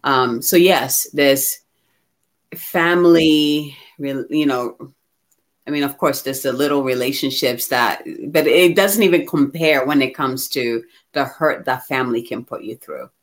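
A woman from Ghana talking candidly and reflectively about herself as a guest, in an expressive conversational way. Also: extremely low pitch, unhurried. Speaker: guest receiving a card reading.

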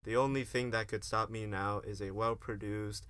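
Pompous, low-voiced student hero played by a man. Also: steady tone, moderate pace, a bit bright, smooth, dry recording, natural voice. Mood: megalomaniac. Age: young adult. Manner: pompous mock-heroic declamation mixed with slang. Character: pompous philosophy-major student hero, grandiose and melodramatic. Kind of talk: amateur comedic radio play acting.

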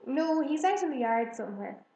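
A woman's voice: high voice, expressive, normal speed, mellow, roomy sound, voice pushed up. A woman reading a storybook, voicing an eager, curious young girl with emphatic questions.

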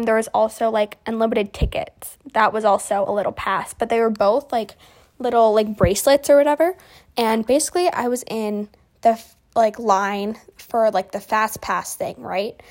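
A teenage girl with a fairly high voice tells stories casually, as if chatting to friends. She rambles and doubles back, leaning on teen slang with an easily exasperated delivery. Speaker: podcast host.

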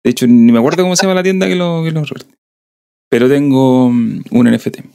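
A man's voice: mid-range voice, fast talker, creaky, warm tone, smooth. Chatty Chilean man hosting a podcast. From southern Chile. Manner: rambling, chatty storytelling. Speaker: podcast host. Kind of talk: casual podcast chat.

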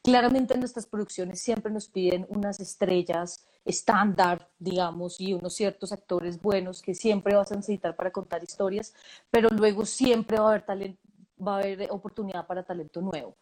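A woman's voice: mid-range voice, steady tone, moderate pace, darkish tone, rough recording. Woman, thirties, casting director, casual interview talk, lots of fillers. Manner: thoughtful, full of fillers and restarts. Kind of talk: conversational interview answers.

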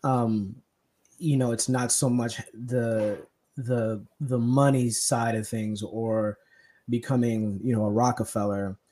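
Halting, mellow-voiced man from Kenya. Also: medium pitch, steady tone, a little hiss or hum. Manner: hesitant, many restarts and fillers. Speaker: podcast host. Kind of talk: casual conversation.

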